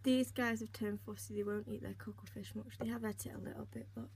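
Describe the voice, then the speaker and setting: A young woman with a slightly croaky voice, chatting casually as she narrates each step of a pet-care routine while filming on a handheld phone at home. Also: mid-range voice, steady tone, quick pace, darkish tone. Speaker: pet keeper vlogger. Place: indoors at home by snail tanks. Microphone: handheld phone camera. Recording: noisy home phone recording.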